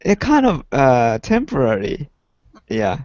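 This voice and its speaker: A man, a student chatting haltingly in short replies over a dull online call. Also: medium pitch, wildly swooping pitch, a little mellow, dry recording. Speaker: student in online English class. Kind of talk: casual chat.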